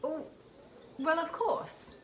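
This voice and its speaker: Demure, guarded gentlewoman played by a woman, bright and high-voiced, for a novel dramatization in an echoey room. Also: lively intonation, extremely slow, exaggerated voice. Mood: polite, guarded. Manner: polite, guarded. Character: demure, reserved gentlewoman, politely hesitant.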